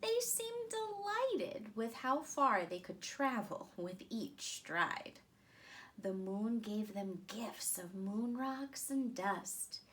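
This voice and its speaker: A woman in her thirties reading a children's picture book aloud with deliberate, expressive phrasing. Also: fairly high pitch. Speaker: storytime reader.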